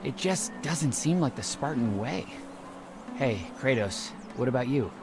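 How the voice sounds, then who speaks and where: An actor playing an eager, sentimental young Spartan friend, excitable and earnest, in a video game drama. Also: male voice, mid-range voice, unhurried, music in the background.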